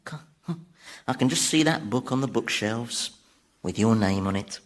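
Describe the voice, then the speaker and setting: Sly, animated devil. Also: male voice, on the high side, creaky, exaggerated voice. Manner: wry, coaxing, sardonic. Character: sly male devil, worldly mocking tempter. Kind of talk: radio sitcom acting.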